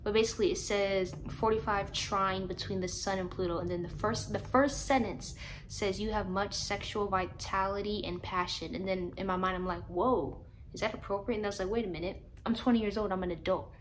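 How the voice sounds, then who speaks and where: A woman's voice: medium pitch, fairly even intonation, brisk, slight background noise, low-quality recording. A woman of twenty chatting casually to camera, rambling and laughing at herself as she reads her birth chart. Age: 20s. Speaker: vlogger.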